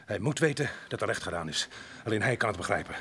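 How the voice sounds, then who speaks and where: Swaggering rough fugitive labourer, animated. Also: male voice, fairly low pitch, fast talker. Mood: grim, defiant. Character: rough, boastful fugitive farm labourer with a violent past. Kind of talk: radio drama acting.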